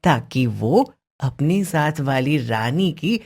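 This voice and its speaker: A woman voicing a clever, confident young boy in a moral-story cartoon, polite, deliberate and persuasive in manner. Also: low voice, expressive, a little mellow, heavily acted. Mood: sly, persuasive.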